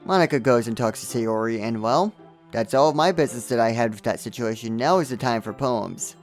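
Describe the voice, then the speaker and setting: A man's voice: mid-range voice, fairly quick. A man giving comedic scripted narration as a game reviewer, with sarcastic asides and exaggerated reactions. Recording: music under the voice. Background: background music.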